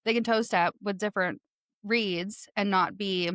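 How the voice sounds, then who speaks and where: A young woman with a Texan accent hosting a casual author interview, bubbly and quick to laugh. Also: medium pitch, fairly even intonation, fairly bright tone, no room sound, studio quality. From Texas. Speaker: podcast host.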